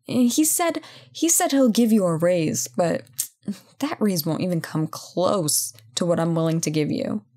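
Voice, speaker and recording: A woman playing a self-assured but guilt-ridden CEO and former bully in an intimate audio roleplay, speaking conversationally with hesitant pauses. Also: lowish voice, expressive, mellow. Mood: assertive, persuasive. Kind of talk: intimate ASMR roleplay acting.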